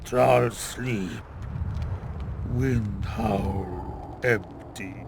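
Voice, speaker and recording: An actor playing a big, dim-witted, childlike male troll in a video game, growling in broken caveman grammar with halting short phrases. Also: fairly low pitch, noisy background, exaggerated voice. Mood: wistful, simple. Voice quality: gravelly, growling. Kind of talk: video game character acting.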